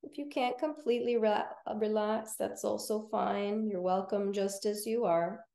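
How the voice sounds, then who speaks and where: A woman teaching yoga nidra, guiding relaxation in a gentle, soothing, unhurried manner with long pauses. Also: medium pitch, steady tone, creaky, reverberant. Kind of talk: guided relaxation meditation.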